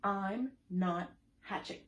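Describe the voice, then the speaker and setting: A woman's voice: mid-range voice, takes it slowly, close to an everyday voice. A woman doing a stubborn grumpy egg. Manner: grumpy, flat refusals.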